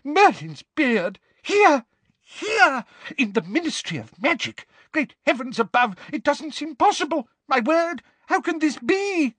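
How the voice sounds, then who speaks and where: Gibbering middle-aged official: high, squeaky, blustering. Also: male voice, very animated, a little slow, a bit bright, husky, no echo, exaggerated voice. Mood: panicked. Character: flustered panicking middle-aged male minister.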